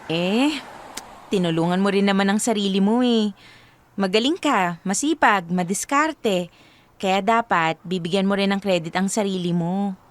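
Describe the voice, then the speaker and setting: A woman's voice: medium pitch, normal speed, close to an everyday voice. An actress playing a flustered, shy young woman in a radio romance drama, with a Filipino accent and a hesitant way of talking. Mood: encouraging. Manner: hesitant, Taglish code-switching. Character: flustered young woman, Monet, shy and encouraging toward her admirer.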